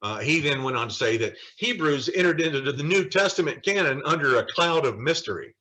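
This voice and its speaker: A man teaches a Bible study in a bright, forceful voice with very lively pitch. He lectures informally from notes, leaning hard on key phrases and slipping in wry asides. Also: a little fast. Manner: emphatic, animated, with wry asides. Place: online video call study session.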